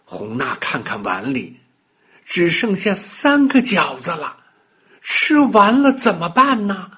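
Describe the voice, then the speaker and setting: A man telling a children's bedtime story in a dramatic, expressive way, with exclamations and sound words. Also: sits high, slow pace, husky.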